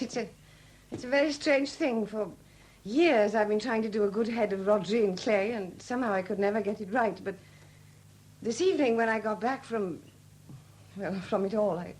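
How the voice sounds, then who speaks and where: An actress in a 1970s radio drama playing a weary, flustered sculptress, newly widowed and proud, speaking candidly in hesitant, halting phrases. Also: female voice, mid-range voice, a little mellow, lightly acted. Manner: hesitant, halting, repeating words. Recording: noisy old 1970s radio recording.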